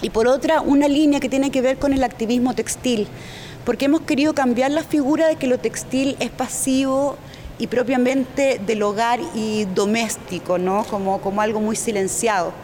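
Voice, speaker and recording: A woman with a Chilean accent addresses a gathering as a union representative. Her voice sits a little high, and she explains things informally, often searching for the next word. The live recording carries a faint layer of background noise. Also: fairly even intonation, moderate pace, a little mellow. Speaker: domestic workers' union representative, speaker. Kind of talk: informal public presentation.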